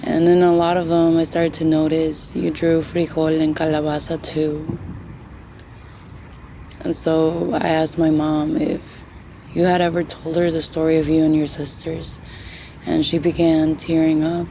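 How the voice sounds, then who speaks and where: Brief: actress as a quiet grandchild, soft and thoughtful, low, warm tone. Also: female voice, flat delivery, measured pace, slight background noise. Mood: reflective. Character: grown grandchild speaking softly at a grave. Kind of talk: scripted audio drama monologue.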